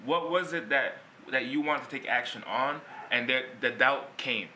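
Male interview host, eager and affirming, bright voice, roomy echo. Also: mid-range voice, moderate pace. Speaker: show host. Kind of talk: interview hosting. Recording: echoey room with faint ambient noise.

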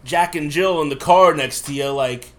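A man's voice: on the high side, moderate pace, a bit bright. A man with a cough, sick, co-hosting a podcast and rambling casually with frequent swearing. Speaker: podcast co-host. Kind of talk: casual chat.

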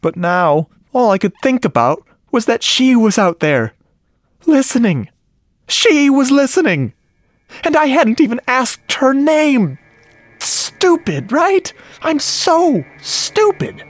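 A man's voice: extremely high pitch, sing-song, slow pace, no room sound, clean studio sound, full character voice. An actor playing a lovestruck, self-deprecating geek, narrating his story in a confiding, earnest way in a radio drama. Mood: agitated, self-mocking. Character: lovestruck, self-deprecating, geeky male sound-effects artist. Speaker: narrator and lead character. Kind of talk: first-person radio drama narration, conversational. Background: music under the voice.

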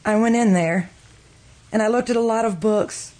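A woman's voice: on the high side, moderate pace, a little mellow, lightly acted. A woman playing a guilt-stricken, distraught woman in an old-time radio drama, earnest and melodramatic. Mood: accusing, intense.

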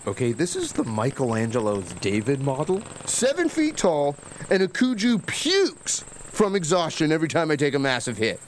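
A man's voice: a little high, huge pitch swings, normal speed, a little hiss or hum. A man playing a cocky, slangy, boastful livestreamer in a sci-fi comedy audio drama. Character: obnoxious, boastful young male livestreamer and vape bro.

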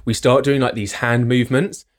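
A man's voice: medium pitch, fairly even intonation, slight background noise. A young man from the UK, co-hosting a podcast, rambling and poking fun at himself. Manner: rambling, self-mocking, quick laughs. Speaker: podcast co-host. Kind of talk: casual chat.

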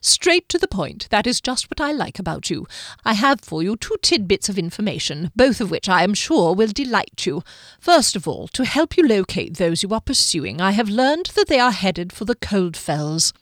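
A woman's voice: mid-range voice, very animated, moderate pace, no echo, studio quality, lightly acted. A woman voicing a pale male lieutenant who is cheerful and menacing, speaking in a mocking, theatrical, sing-song way. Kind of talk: audiobook character voice.

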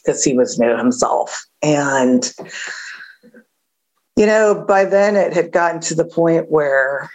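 A woman with a gravelly voice giving a candid recovery share, halting with many fillers and a wry edge. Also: low voice, animated, darkish tone, rough recording. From Southern California. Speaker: AA speaker.

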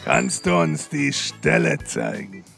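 A man playing a gruff, slow-witted police commissioner with a gravelly, husky voice and deadpan grumbling in a comic audio drama. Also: a little high, faint music behind, full character voice. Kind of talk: comedic audio drama acting.

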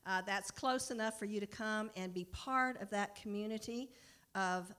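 A middle-aged or older American woman giving an informal, enthusiastic personal talk to a congregation as a mission worker. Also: fairly low pitch. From United States. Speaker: mission partner for a hospital, guest speaker.

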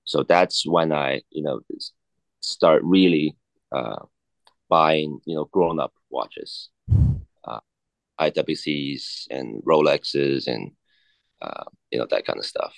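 A man in his thirties from China, a native Cantonese speaker, talking haltingly as an interview guest with many fillers and restarts. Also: extremely low pitch, gravelly, no echo. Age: 30s. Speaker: guest, watch collector. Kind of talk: casual interview chat.

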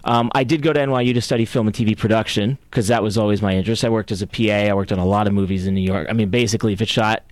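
Low-voiced, matter-of-fact man guest. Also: fairly even intonation, racing, hardly any pauses, dry recording. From Puerto Rico. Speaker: talent agent, guest. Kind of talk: interview answers.

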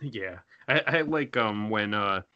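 A man's voice: lowish voice, animated, slow pace, bright, forceful tone, dull audio. A man co-hosting a casual fan podcast. He speaks hesitantly, with "uh" and "um" fillers. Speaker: podcast co-host.

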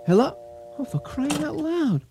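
An actor playing a skeptical but obliging husband in a radio drama, conversational with an impatient edge. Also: male voice, very high-pitched, sing-song, a little slow, warm tone, a little hiss or hum, exaggerated voice. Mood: exasperated.

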